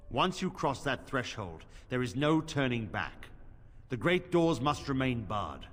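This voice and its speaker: An actor playing a stern, weary middle-aged knight commander in a fantasy game, speaking formally and gravely, in long reverb. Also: male voice, medium pitch, a bit bright. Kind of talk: fantasy game dialogue acting. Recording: long reverb of a stone hall.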